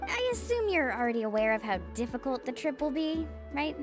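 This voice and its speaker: A woman's voice: high voice, sing-song, fairly quick, music in the background. A woman playing a friendly young island guide and shopkeeper in a video game, chatty and reassuring. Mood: earnest, hesitant.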